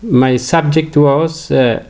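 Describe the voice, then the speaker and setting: An older man from Afghanistan with an Afghan accent, a native Farsi speaker, giving a halting religious lecture full of fillers and restarts. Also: fairly high pitch, steady tone, a little mellow. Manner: hesitant, many fillers and restarts.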